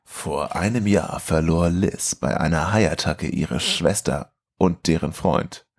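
A man's voice: sits low, takes it slowly. A man co-hosting a film podcast in a casual, chatty style. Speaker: podcast co-host.

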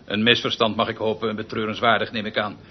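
An actor playing a pompous, eccentric male orchestra conductor in a radio drama, theatrical and pedantic, speaking deliberately with pregnant pauses. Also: fairly low pitch, level tone, dull audio, a little hiss or hum, lightly acted. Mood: indignant, aggrieved.

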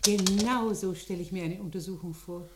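A woman's voice: lowish voice, a little fast, noisy background, natural voice. An actress playing an insistent, suspicious older lady in a radio crime play, speaking in a prim, precise, dignified way. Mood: haughty. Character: insistent, suspicious older lady reporting her relatives' poison plots. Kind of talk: German radio drama acting.

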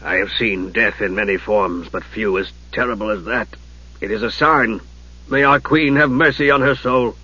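A man's voice: mid-range voice, level tone, normal speed, hardly any pauses, bright, forceful tone, dull audio, natural voice. A man voicing a stern, impatient warlord giving orders. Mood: shaken. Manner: curt, commanding.

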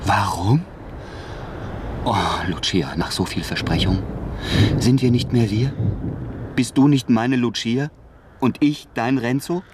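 An actor in a radio play playing an anguished young peasant lover, pleading urgently and stubbornly with great emotion. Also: male voice, medium pitch, slow pace, pauses often, background noise, natural voice. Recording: music behind the voice.

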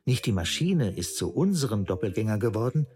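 A man's voice: on the low side, sing-song, brisk. An actor playing an earnest male theorist who lectures precisely and didactically in a German radio play. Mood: earnest, didactic.

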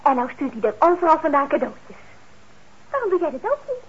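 A woman's voice: sits high, very animated, a little fast. An actress playing a caring, teasing teenage sister in a radio play, chatty and girlish in her delivery. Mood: caring, coaxing. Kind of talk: naturalistic radio play acting in Dutch. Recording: muffled old radio drama, faint noise.

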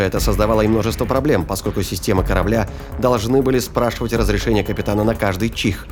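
A man with a fairly low voice narrates a science-fiction thriller. His delivery is formal, measured storytelling, set against clearly audible music. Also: darkish tone.

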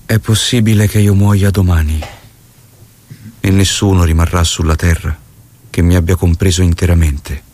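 An actor playing a doomed revolutionary leader, reciting poetically and deliberately in a solemn manner. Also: male voice, low voice, steady tone, darkish tone, slight background noise, lightly acted. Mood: solemn, resigned.

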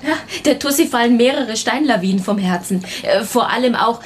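A woman's voice: on the high side, a little fast, a little hiss or hum, lightly acted. Actress as pert female detective's assistant, faint ambience. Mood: gossipy, exasperated. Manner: colloquial, expressive, pert. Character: chatty, sharp-witted female assistant to a detective. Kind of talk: German radio crime drama acting.

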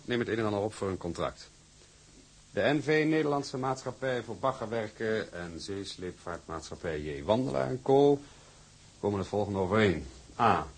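An older male actor playing a shrewd, imperious company boss with a gravelly, husky voice and a deliberate, patronising manner in a drama. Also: fairly low pitch. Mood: commanding.

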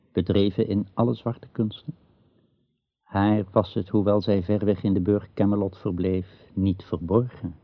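A dry, dull radio recording of a male narrator. His very deep, gravelly voice tells an archaic tale in a solemn, measured way. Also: dry recording. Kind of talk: scripted radio drama narration, archaic storytelling.

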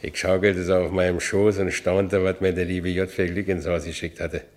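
Actor as Franz Biberkopf, deep-voiced ex-convict. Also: male voice, flat delivery, normal speed, close to an everyday voice. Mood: contented, wistful. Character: Franz Biberkopf, battered, streetwise Berlin ex-convict telling his story. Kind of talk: first-person radio drama narration.

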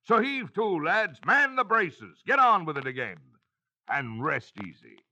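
An actor playing a hearty old sailor with salty nautical talk and theatrical swagger, in mid-century radio style. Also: male voice, high voice, big pitch swings, unhurried, bright, forceful tone, no echo, heavily acted. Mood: rousing, proud. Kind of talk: 1940s-50s radio acting, scripted monologue. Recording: dull, lo-fi old radio recording.

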